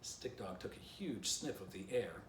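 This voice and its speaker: A man's voice: on the low side, expressive, moderate pace. A man reading a storybook aloud and giving a casual drawing lesson to children, explaining things simply. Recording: roomy, distant mic, faint background noise.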